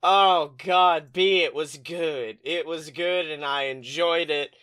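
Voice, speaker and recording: A man hosting a comedic podcast with casual, joking, profane banter in an animated manner. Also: on the high side, takes it slowly, smooth. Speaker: podcast host.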